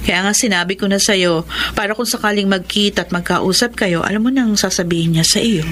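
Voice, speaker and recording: An actress playing a resolute grown daughter with a Filipino accent, firm and earnest, in a radio drama. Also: female voice, medium pitch, brisk, fairly bright tone, lightly acted. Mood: reassuring. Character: resolute grown daughter, separated wife in new relationship.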